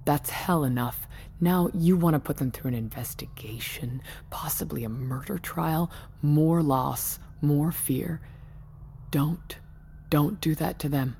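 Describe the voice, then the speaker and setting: An actress playing a controlling, weary tech company boss in an audio drama, guarded and persuasive, speaking in a measured, deliberate way. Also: female voice, sits low, vocal fry, warm tone, slight background noise, exaggerated voice. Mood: firm, pleading. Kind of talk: naturalistic audio drama acting.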